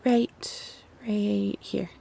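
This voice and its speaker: A woman's voice: medium pitch, flat delivery, extremely slow, creaky, dark tone, breathy edge, full character voice. A woman voicing a short, friendly young non-human city local in a roleplay audio drama, chatty and a little flustered.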